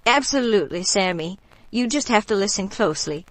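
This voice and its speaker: A woman playing a warm local bayou woman in a radio drama, telling stories in an unhurried, folksy, gently mystical way. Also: medium pitch, expressive. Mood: fond, reminiscing.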